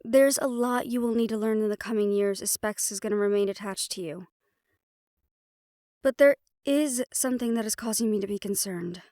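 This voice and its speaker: A woman playing a calm, protective householder who befriends ghosts, gently firm and reassuring, in an audio roleplay drama. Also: mid-range voice, moderate pace, no echo, studio quality, natural voice. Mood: thoughtful.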